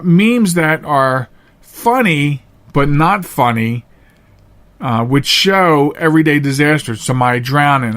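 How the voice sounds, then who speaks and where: A man hosting a podcast in a casual, unscripted monologue, earnest and plain-spoken, halting at times and repeating phrases for emphasis. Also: on the high side, a bit bright. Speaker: podcast host.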